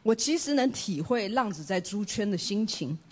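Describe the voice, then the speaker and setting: A woman's voice: medium pitch, animated, moderate pace, darkish tone. A woman preacher from Taiwan, giving an earnest, emphatic sermon with a personal testimony and rhetorical questions.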